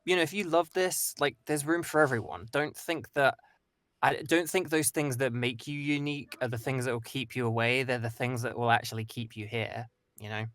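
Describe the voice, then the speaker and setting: A man's voice: mid-range voice, moderate pace, a bit bright, no echo. A man voice actor, a guest on a stream, speaking thoughtfully and hedging with many "kind of"s and "sort of"s. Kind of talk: casual conversation.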